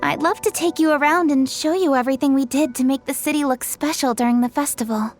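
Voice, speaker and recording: A woman's voice: high-pitched, quick pace, soft background music. A woman playing an earnest, conscientious young festival organizer in a video game, sincere and slightly anxious. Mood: earnest, hopeful. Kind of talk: video game dialogue acting.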